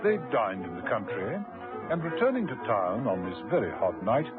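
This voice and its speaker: A man's voice: lowish voice, sing-song, normal speed, narrow, tinny audio. A man narrating a scripted suspense serial for radio as its storyteller. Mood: measured, wry. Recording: band-limited old radio recording with music. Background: music.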